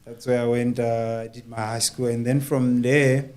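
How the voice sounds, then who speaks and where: A man from Zimbabwe, a reggae musician being interviewed as a guest, easygoing and quick to laugh. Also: mid-range voice. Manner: easygoing, flat delivery, frequent laughs. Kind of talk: interview answers.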